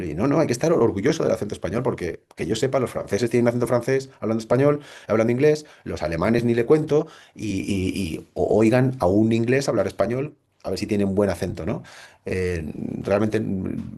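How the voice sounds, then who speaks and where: Low mellow-voiced Spanish man lecturing. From Spain. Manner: reflective, many restarts and rhetorical questions. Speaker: doctor giving a lecture. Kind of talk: recorded online lecture. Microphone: computer mic.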